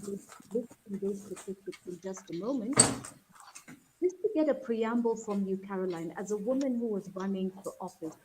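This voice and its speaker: Soft-spoken woman hosting. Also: medium pitch, heavy background noise. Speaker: host. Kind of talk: moderating an online panel. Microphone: video call.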